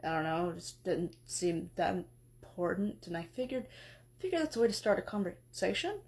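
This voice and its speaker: A woman voicing a sleepy, slow-witted male god who mumbles, hesitates and apologizes. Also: fairly low pitch, big pitch swings, gravelly, a little mellow, pitched below the performer's own voice.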